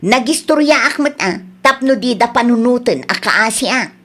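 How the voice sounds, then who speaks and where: Woman acting an earnest Ilocano mother, higher voice. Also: expressive, moderate pace, fairly bright tone. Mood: exasperated. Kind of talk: radio drama acting.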